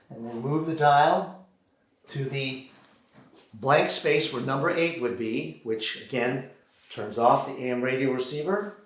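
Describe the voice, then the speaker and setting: An American man performing a theatrical solo monologue, deliberately acting out one side of a phone call on a theater stage. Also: mid-range voice, fairly bright tone. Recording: roomy, echoing live theater sound.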